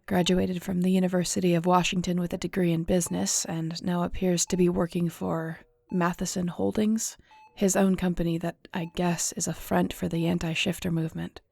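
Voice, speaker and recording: A woman's voice: on the low side, little pitch movement, normal speed, gravelly, few pauses, darkish tone. A woman acting in an audio roleplay as a weary, guilt-ridden shifter investigator, speaking in a somber, conversational, confiding way. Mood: matter-of-fact briefing.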